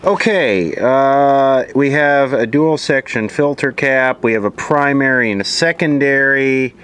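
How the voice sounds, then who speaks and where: A man giving casual, off-the-cuff repair commentary, halting as he thinks aloud while working. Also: mid-range voice, fairly bright tone. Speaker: repair video host, vintage electronics hobbyist. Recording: clear background noise from a workbench recording. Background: ambient noise, passing aircraft.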